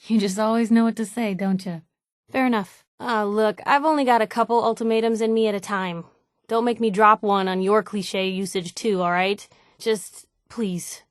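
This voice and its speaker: A woman playing a wry, spirited young reporter who travels as a companion in a video game, with a sardonic, chatty and expressive delivery. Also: a little high, no room sound, studio quality. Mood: hurt, annoyed. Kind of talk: acted game dialogue lines.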